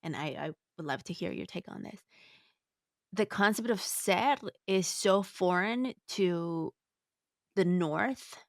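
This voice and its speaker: A woman's voice: fairly low pitch, slow, no echo, clean studio sound. A woman hosting a podcast interview, casual and reflective, circling a little as she forms her questions.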